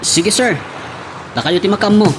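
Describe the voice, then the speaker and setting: A man acting a high-voiced, deferential hired man. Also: normal speed, lightly acted. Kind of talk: Ilocano radio-style drama acting.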